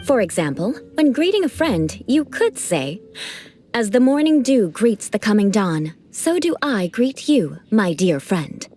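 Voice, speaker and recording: A woman's voice: mid-range voice, very animated, measured pace, darkish tone. An actress voicing a proud young aristocratic woman who speaks in formal, lofty, precise language, in a video game. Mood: instructive. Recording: game audio with music.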